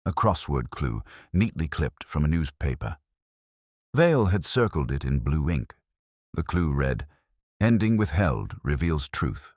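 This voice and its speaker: A man voicing a brooding, introspective police detective who recounts a case in measured, grave, literary first-person narration for a mystery audio drama. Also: extremely low pitch, husky, no room sound, clean studio sound, full character voice.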